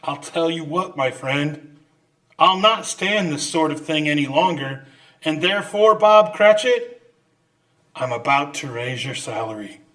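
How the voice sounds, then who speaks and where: A man's voice: fairly high pitch, lively intonation, unhurried, sharp tone, poor audio. A man playing a reformed old miser in an old-time radio drama, theatrical and exclamatory, swinging between mock sternness and joviality. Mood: mock stern.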